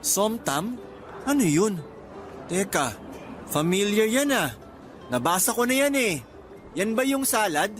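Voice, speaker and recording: Young Filipino traveller, earnest, excitable, fairly high voice, radio drama, faint music behind. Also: male voice, a little slow, pauses often. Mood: curious, teasing. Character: excited young Filipino man on first trip abroad.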